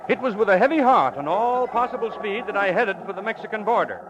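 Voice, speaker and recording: Dull-sounding old radio scheming professor, animated. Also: male voice, high-pitched, fast talker. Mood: grave confession. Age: older. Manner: avuncular, flustered, stammering, wordy. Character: genteel older scheming con-man professor, falsely avuncular. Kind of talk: old-time radio suspense acting.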